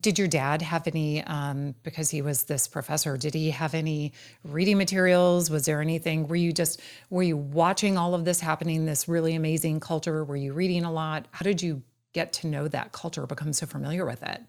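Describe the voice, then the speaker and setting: Brief: low-pitched female host, deliberate and emphatic, introduces guests and asks questions. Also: steady tone, a little mellow. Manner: measured, emphatic delivery with deliberate pauses. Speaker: podcast host. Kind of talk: scripted intro then interview questions.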